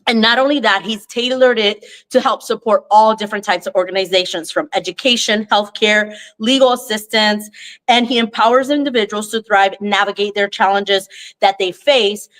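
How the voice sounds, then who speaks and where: A woman hosting a live interview at a co-working space, chatty and effusive, with a voice that is going hoarse and breaking into coughs. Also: mid-range voice, moderate pace, a bit bright, low-quality recording. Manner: chatty, effusive, restarts often. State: losing her voice, coughing. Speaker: host, consulting CEO.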